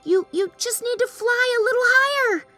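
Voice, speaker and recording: A woman's voice: extremely high pitch, normal speed, pushed and bright, faint music behind, pitched above the performer's own voice. A woman voicing the Moon as a hopeful, eager female friend in a children's storybook, exclaiming and encouraging in a sing-song way. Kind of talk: children's storybook read-aloud.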